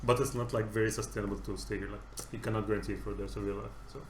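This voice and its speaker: A man's voice: on the low side, level tone, racing, slight background noise. A man around thirty, originally from Egypt, chatting casually as a podcast guest, laughing often and trailing off mid-sentence. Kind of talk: casual chat. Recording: echoey room with faint ambience.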